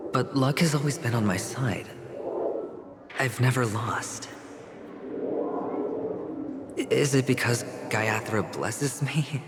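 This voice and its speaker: An actor playing a self-assured young male gambler in a game cutscene, speaking in a wry, measured way. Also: fairly low pitch, in a large hall, noisy background.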